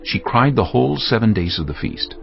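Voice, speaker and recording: Very deep, expressive male narrator. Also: fairly quick, gravelly. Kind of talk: dramatic scripted scripture narration. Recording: dull lo-fi audio with music. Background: music.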